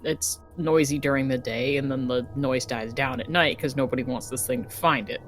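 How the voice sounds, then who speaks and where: A man's voice: medium pitch, steady tone, normal speed, fairly bright tone, soft background music. A man chatting casually as a player in a tabletop role-playing game.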